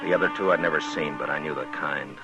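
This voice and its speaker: An actor playing a calm, laconic frontier marshal who narrates and speaks in a measured, firm way, in a 1950s radio western. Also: male voice, very deep voice, muffled recording, over loud music. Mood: narrating.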